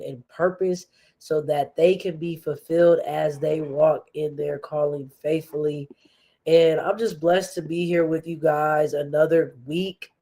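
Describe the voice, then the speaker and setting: A woman's voice: deep voice, warm tone. A woman hosting a faith-based podcast, talking earnestly with a stuffy, congested nose and frequent fillers. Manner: slow, earnest, with many fillers and restarts. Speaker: podcast host.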